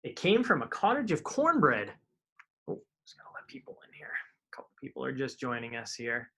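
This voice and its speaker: A man's voice: mid-range voice, wildly swooping pitch, a little fast, bright, forceful tone, slightly rough. Man reading picture books aloud to kids. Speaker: karate instructor reading children's books aloud. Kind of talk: live storytime read-aloud to children.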